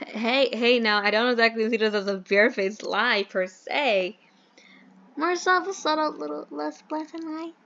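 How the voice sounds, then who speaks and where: A woman's voice: fairly high pitch, moderate pace, gravelly, fairly bright tone, lo-fi sound. A woman voicing an eager but sheepish young male filmmaker with groovy slang and a hesitant, rambling manner in a comic audio drama.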